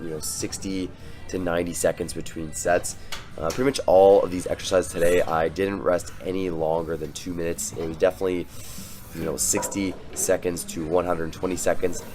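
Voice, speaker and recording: A young man with a very low voice, hoarse from a cold, talks over his workout footage. He rambles in a loose, easygoing way, stopping often to restart a thought, while faint music plays underneath. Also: a little fast. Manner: relaxed, rambling, many fillers and restarts. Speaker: fitness vlogger.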